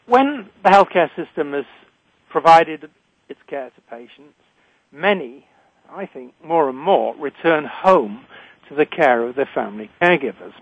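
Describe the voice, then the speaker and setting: An older man with a British accent hosting a radio talk show in a formal, deliberate way, introducing and interviewing. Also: a little high, breathy edge, no room sound. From Britain. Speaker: radio show host, retired physician. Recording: narrow, phone-like line.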